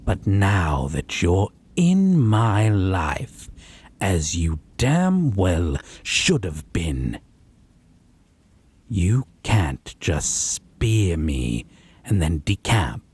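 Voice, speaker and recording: A man playing a possessive, menacing male vampire in a dramatic audio roleplay, husky and breathy, with taunting, drawn-out phrasing and whispered words. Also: deep voice, big pitch swings, a little mellow, heavily acted. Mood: cold, possessive. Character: possessive, menacing, predatory male vampire, wounded yet domineering.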